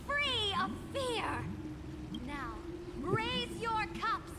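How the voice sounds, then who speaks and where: Imperious, very high-voiced young-sounding monarch. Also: female voice, big pitch swings, extremely slow, very noisy, full character voice. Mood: confident, stately. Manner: grand, commanding, oratorical. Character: imperious female monarch rallying her subjects to conquest. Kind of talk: scripted game voice acting.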